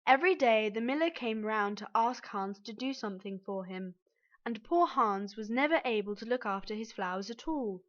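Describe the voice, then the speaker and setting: A woman's voice: a little high, animated, moderate pace. A woman narrating a scripted children's story as its reader. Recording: dull, band-limited audio.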